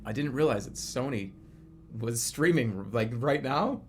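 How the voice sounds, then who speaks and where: A man's voice: medium pitch, expressive, unhurried, slight background noise. A man in his thirties chatting casually as a live streamer, rambling and often restarting or repeating his phrases. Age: 30s. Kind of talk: casual livestream chat commentary.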